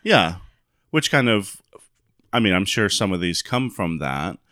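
A man from Northwest Arkansas co-hosting a chatty podcast, cracking dry jokes. His somewhat low voice is bright and moves around a lot in pitch. He is recorded close in a dry room. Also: a little slow, no echo. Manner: dry, joking, calls his own voice monotone. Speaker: podcast co-host. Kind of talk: casual chat.

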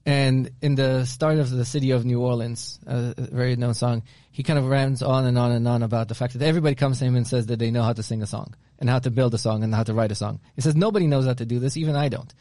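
Man, mellow dark tone, dry close recording, casual storytelling. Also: mid-range voice, steady tone, quick pace, hardly any pauses, no echo. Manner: storytelling, restarts often, anecdotal. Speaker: podcast co-host. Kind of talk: casual conversational chat.

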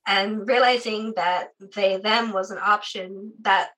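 Interview guest, young adult, from the UK, chatty with filler words, bright clear tone, sore voice. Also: female voice, mid-range voice, fairly even intonation, a little slow, rough recording. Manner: chatty, frequent "like" fillers, laughs often. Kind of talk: casual interview conversation.

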